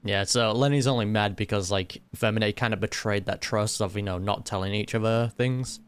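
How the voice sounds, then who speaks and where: A man's voice: lowish voice, fairly even intonation, normal speed, clean tone. A man giving casual, joking reaction commentary as a game streamer.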